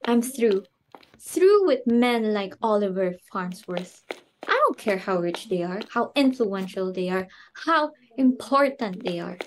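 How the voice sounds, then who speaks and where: A woman's voice: fairly high pitch, a little hiss or hum, poor audio. A woman playing a flustered, snobbish society hostess and mother in an amateur dramatic reading, exclamatory and imperious.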